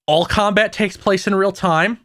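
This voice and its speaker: A man with a fairly high voice chats about games on a podcast, rambling along with plenty of restarts and asides. The sound is dry and close, with no room around it. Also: steady tone, fairly bright tone, dry recording. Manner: rambling, many restarts and fillers, quick asides. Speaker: podcast co-host. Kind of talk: casual gaming podcast chat.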